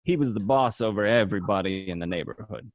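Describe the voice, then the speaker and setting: A man's voice: on the low side, fast, no room sound, dull audio, close to an everyday voice. A man playing a gangster who looks back on his youth, narrating in a reflective, matter-of-fact way for an amateur radio drama. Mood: nostalgic, proud.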